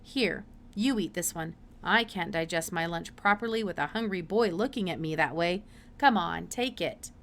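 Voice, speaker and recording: A woman voicing a brisk, curious, absent-minded older male scientist in a storybook, with hesitant mutters and emphatic exclamations. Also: lowish voice, a bit bright, a little hiss or hum. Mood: brisk, friendly.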